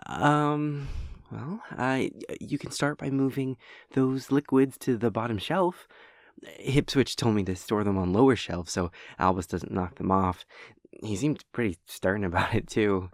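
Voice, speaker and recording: A man's voice: medium pitch, dry recording, studio quality. A man playing a shy, awkward young demon hunter in an anime audio drama, stammering, hesitant and apologetic.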